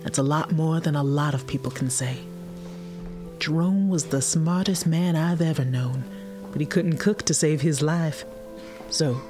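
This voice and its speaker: Old great-grandmother, low female voice, folksy, gracious, proud, tender, audio drama, guitar music behind. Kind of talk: audio drama character acting.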